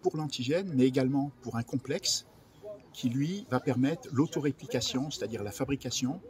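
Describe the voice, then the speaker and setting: A man's voice: mid-range voice, normal speed. A man giving technical explanations as an interview guest, hesitating and repeating himself as he goes. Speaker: research director in biology, interview guest. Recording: loud ambient background noise.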